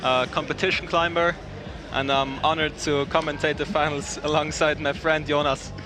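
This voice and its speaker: An Austrian-accented man co-commentates a live sports event in a casual style. His voice is somewhat high. Music plays clearly in the background. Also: level tone, normal speed. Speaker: co-commentator and competition climber. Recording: music playing behind the voice.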